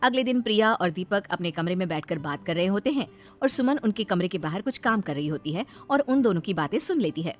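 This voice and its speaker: A woman storyteller narrates a scripted moral tale. Her pitch is medium for a woman, and music plays faintly behind her. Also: lively intonation, a little fast.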